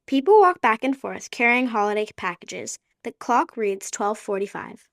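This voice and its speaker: A woman's voice: a little high, sing-song, moderate pace, a bit bright, dry recording, studio quality. A woman reading scripted narration for an adventure radio play.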